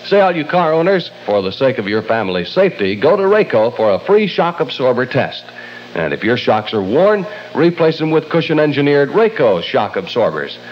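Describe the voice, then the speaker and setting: A man's voice: mid-range voice, sing-song, moderate pace. An American man working as a radio show host, announcing and reading ads in 1960 DJ style. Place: radio studio. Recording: lo-fi 1960 AM radio aircheck, faint hum.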